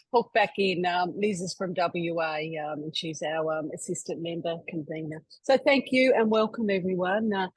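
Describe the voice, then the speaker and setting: A woman's voice: medium pitch, breathy edge, no echo, rough recording. A woman hosting an online members' webinar over a video call, chatty and loose, full of fillers and restarts. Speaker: webinar host.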